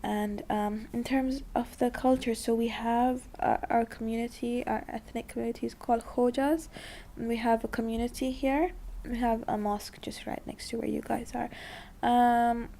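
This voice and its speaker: A woman's voice: fairly high pitch, flat delivery, normal speed, gravelly, dark tone, slight background noise. A young woman from Dubai, talking casually in an interview, full of likes and you-knows. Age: young adult.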